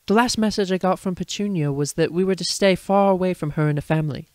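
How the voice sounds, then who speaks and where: Man putting on high-pitched, snappish young mother. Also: fast talker, a little mellow, smooth, dry recording, clean studio sound, lightly acted. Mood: sharp, suspicious. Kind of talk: dramatic fan fiction reading.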